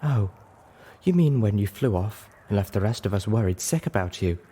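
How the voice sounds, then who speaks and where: A man's voice: fairly low pitch, lively intonation, normal speed, warm tone, breathy edge. A man voicing a genteel, caring female pony healer with a refined, chiding manner, in a story reading. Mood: reproachful.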